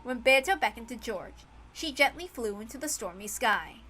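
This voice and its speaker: A woman narrates a fantasy story in a high, bright voice. Her delivery is dramatic and scripted, like an audiobook reading of a visual novel. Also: animated, normal speed, slight background noise.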